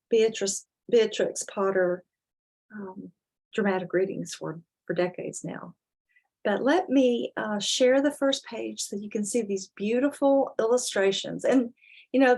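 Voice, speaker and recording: Woman, higher-pitched voice, dramatic children's storyteller, deliberate emphasis. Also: a little mellow. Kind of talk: expressive dramatic storybook reading with casual asides.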